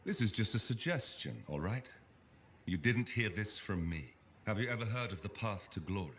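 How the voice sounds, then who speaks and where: An actor playing a cryptic, secretive imperial talent scout in a video game, speaking in a guarded, measured, insinuating way. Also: male voice, fairly low pitch, animated, slight background noise. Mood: secretive, persuasive. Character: cryptic, secretive man scouting fighters for the Emperor.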